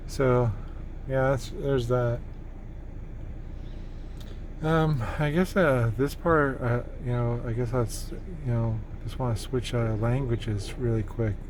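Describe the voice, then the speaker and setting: A man's voice: mid-range voice, steady tone, takes it slowly, darkish tone. A man in his forties, whose first language is Spanish, rambling casually with many fillers and restarts while talking into a dashboard phone camera in his parked car. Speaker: vlogger. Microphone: phone camera mounted on the dashboard. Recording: vehicle noise behind the voice. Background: car and street ambience.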